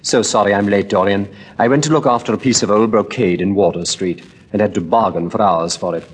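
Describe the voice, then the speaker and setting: A man's voice: lowish voice, brisk, husky, rough recording. A man voicing a languid, witty aristocrat in a storybook reading, drawling wryly.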